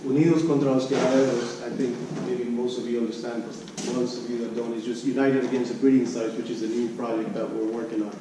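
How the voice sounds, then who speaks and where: A man presenting research at an academic conference, speaking haltingly and often repeating and restarting his phrases. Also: medium pitch, level tone. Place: conference room. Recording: echoey room, distant mic, background noise.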